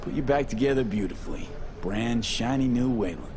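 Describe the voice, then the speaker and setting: An actor playing a cocky, confident mercenary in a video game, with a laconic, clipped, dry delivery. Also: male voice, medium pitch, lively intonation, brisk, crowd noise, lightly acted. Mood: teasing. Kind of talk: video game dramatic acting.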